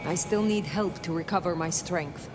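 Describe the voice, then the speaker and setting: An actress playing a stoic, blunt ancient vampire woman in a video game, speaking formally and deliberately. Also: on the low side, music in the background, natural voice. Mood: reserved. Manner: formal, deliberate, terse, direct.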